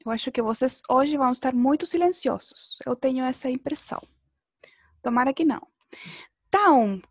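A woman's voice: high-pitched, dry recording, clean studio sound. A woman teaching a beginner language class over a video call, encouraging pupils and often checking in with short tag questions. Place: indoor room, online video class.

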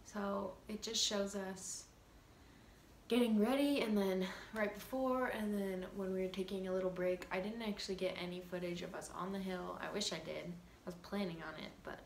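A teenage girl chats to her laptop camera in a small bedroom, easygoing and full of likes and ums. Her voice has a light fry to it, and the small room rings around her. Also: mid-range voice, steady tone, normal speed, roomy sound, slight background noise. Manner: chatty, giggly, lots of likes and ums. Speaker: vlogger. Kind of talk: casual vlog talk to camera.

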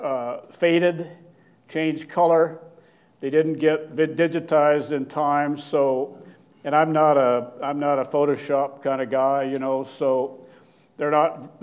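An older man giving a slide lecture in a hall, with a loud voice and frequent fillers and restarts. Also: medium pitch. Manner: loud, plain-spoken, halting with many fillers and restarts. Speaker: guest lecturer, archaeologist. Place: lecture hall with seated audience. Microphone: podium microphone, standing at lectern.

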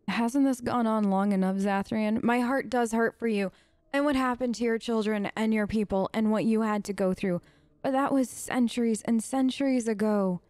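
A woman's voice: on the high side, moderate pace, darkish tone, no echo. A woman doing casual, improvised role-play game commentary as a let's play host, chatty, earnest and rambling.

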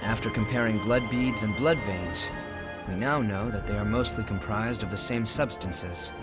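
A man playing a composed, noble young revenant leader in a video game, speaking in a measured, serious way. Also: lowish voice, close to an everyday voice. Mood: composed, explanatory. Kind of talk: video game cutscene acting. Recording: music behind the voice.

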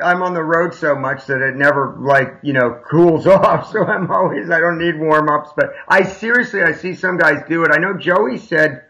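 Gravelly bright-voiced musician on a video call. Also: male voice, fairly high pitch, low-quality recording. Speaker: guest musician. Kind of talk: casual chat on a video call.

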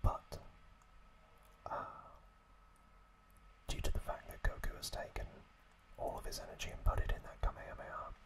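A man hosting ASMR, narrating in a hushed whisper with drawn-out phrasing, close to the microphone. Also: rough recording. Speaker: ASMR host.

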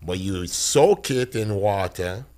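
Fifties guy on a podcast, kinda low voice, gets super animated, close boom mic. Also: slow pace. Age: 50s. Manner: animated, big pitch jumps when excited. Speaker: guest chef. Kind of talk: casual interview talk. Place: small podcast studio. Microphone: studio boom mic, close.

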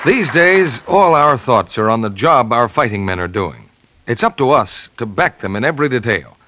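A man's voice: mid-range voice, animated, normal speed, bright, forceful tone, some chatter behind, slight background noise. A man with an American accent announcing and reading scripted sponsor messages in 1940s radio style. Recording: 1940s radio broadcast recording.

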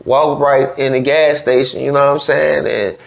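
A man's voice: medium pitch, steady tone, moderate pace, gravelly. A man with a Southern US accent hosting a casual interview in loose, slangy talk full of verbal tags. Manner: slangy, frequent "you know what I'm saying". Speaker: podcast host interviewing. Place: indoor studio desk. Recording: dull, lo-fi studio recording.

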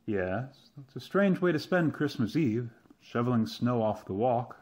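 A man acting a mild, cautious young tutor in an amateur radio drama, gently admonishing in a measured way. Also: medium pitch, animated. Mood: mild, concerned. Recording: dull, lo-fi sound.

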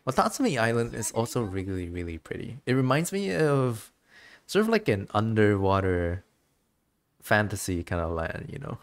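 A man's voice: lowish voice, big pitch swings, moderate pace. A man streaming a game with casual, musing commentary, a little hesitant as he thinks aloud.

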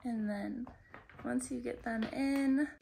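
A woman's voice: fairly high pitch, fairly even intonation, slow, vocal fry, mellow, a little hiss or hum. A young woman talking casually and step by step as she demonstrates how her ski gear works. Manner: friendly, explains step by step while handling gear. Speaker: outdoor gear vlogger.

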